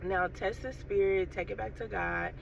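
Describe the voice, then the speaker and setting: A woman with a scratchy throat and a stuffy nose gives an unhurried, casual spiritual message to her viewers, often saying "you know" and "um". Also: medium pitch, fairly even intonation, vocal fry. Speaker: faith vlogger. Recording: dull, distant lo-fi recording with faint noise.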